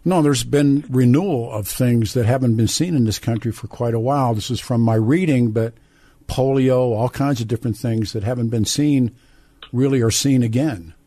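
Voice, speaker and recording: Older man, mid-pitched, radio host, blunt opinionated talk, dry close studio sound. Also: moderate pace, mellow, no room sound. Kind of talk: live call-in talk radio.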